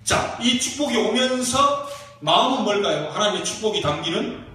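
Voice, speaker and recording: A male pastor with a regional Korean accent preaches in a notably high voice. His emphatic exhortations and questions ring through a very echoey church, picked up from a distant mic with faint noise. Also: expressive, sharp tone. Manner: emphatic, exhorting, with rhetorical questions and drawn-out key phrases. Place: church sanctuary during a service.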